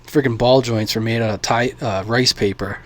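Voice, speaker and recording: A man's voice: mid-range voice, fairly even intonation, normal speed, fairly bright tone, no room sound. An American man giving a casual, opinionated vlog monologue in a blunt, informal, rambling way, as a radio hobbyist. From United States.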